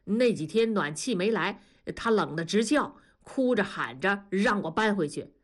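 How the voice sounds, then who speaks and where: Woman reader as a stubborn older husband, mock-serious, lively. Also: medium pitch, moderate pace, lightly acted.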